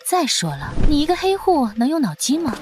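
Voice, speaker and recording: Teasing ancient spirit mentor. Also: female voice, high voice, big pitch swings, a little fast, a little mellow, slight background noise. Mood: hushed. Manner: teasing, commanding. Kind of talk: animated drama dubbing. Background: background music.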